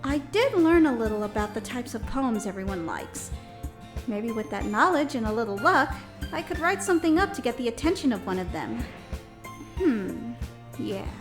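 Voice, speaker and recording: A woman's voice: a little high, very animated, normal speed, music in the background. An actress playing an earnest, smitten young schoolgirl heroine, with wistful narration and dialogue in a visual novel audio drama. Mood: hopeful. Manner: bright-hearted, wistful inner narration and dialogue.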